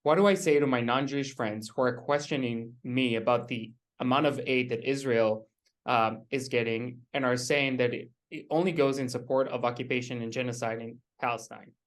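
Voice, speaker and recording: A man's voice: mid-range voice, steady tone, normal speed. A young man hosting an event, formally reading an introduction and audience questions. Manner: formal, reads from notes. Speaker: event host.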